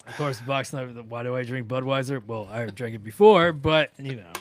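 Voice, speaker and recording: A man, roughly in his thirties or forties, telling anecdotes in casual, slangy, animated chat. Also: mid-range voice, normal speed, a little hiss or hum. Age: 30s or 40s. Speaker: radio interviewer on a podcast panel.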